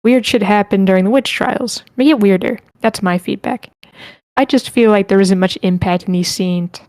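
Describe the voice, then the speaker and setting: A woman's voice: medium pitch, normal speed, a little mellow, no echo. A woman hosting an online group session, casually moderating the chat and reading comments aloud. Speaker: host of an online script table read.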